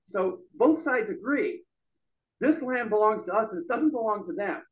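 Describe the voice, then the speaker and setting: A middle-aged man giving a loud, emphatic speech at an open-mic forum in a bar room. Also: high-pitched, lively intonation, moderate pace, lo-fi sound. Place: bar room with a small audience. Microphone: stand mic.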